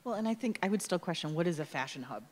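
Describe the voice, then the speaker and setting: A woman's voice: on the low side, expressive, racing, darkish tone. A woman moderating an on-stage Q&A from a lectern, chatty and teasing as she reads out questions. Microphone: lectern mic.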